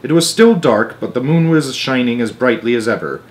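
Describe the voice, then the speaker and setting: A man's voice: mid-range voice, expressive, moderate pace. Man reading a children's story expressively. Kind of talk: expressive read-aloud narration of a children's book.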